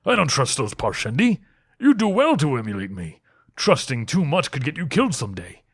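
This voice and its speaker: A man voicing a gruff, loyal warrior lord in a fantasy audiobook, grim, blunt and urgent. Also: mid-range voice, very animated, fairly quick, no room sound, studio quality. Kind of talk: dramatic fantasy audiobook reading.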